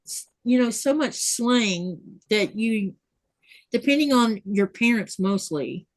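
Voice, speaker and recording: A woman's voice: on the high side, animated, slow, no echo, rough recording. A middle-aged woman hosting a sewing livestream, chatting casually and telling rambling stories. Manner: chatty, rambling storyteller, reads viewer comments. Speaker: livestream host.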